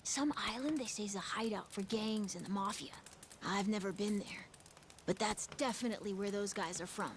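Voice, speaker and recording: A woman's voice: mid-range voice, normal speed, slight background noise, lightly acted. A woman voicing a restless, eager young island boy with an American accent and casual speech in a video game cutscene. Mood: casual, explaining. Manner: casual, youthful talk. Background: game music.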